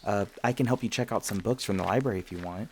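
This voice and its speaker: A man acts out a friendly young vampire showing a listener around a university in an ASMR roleplay. His voice sits very low with a strong gravelly creak. He talks casually and conversationally, with gentle, teasing jokes. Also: quick pace, slight background noise, close to an everyday voice. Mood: easygoing, informative. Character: friendly, teasing male vampire university student and tour guide.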